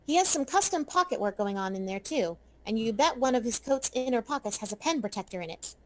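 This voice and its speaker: A woman's voice: on the high side, animated, fairly quick, low-quality recording. A woman reading scripted second-person narration for a story game. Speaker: narrator and player character reader. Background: music.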